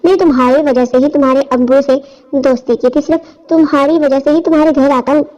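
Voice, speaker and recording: High-voiced woman reading as coaxing older man. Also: steady tone, fairly quick, a little mellow, lightly acted. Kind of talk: scripted audio story. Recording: dull, lo-fi audio.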